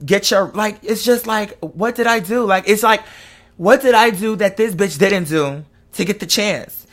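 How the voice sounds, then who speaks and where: Casting: online host, twenties, medium-pitched voice, candid emotional monologue, heavy slang and profanity, emphatic repetition. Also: unhurried, a bit bright. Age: 20s. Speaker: online content creator and live show host.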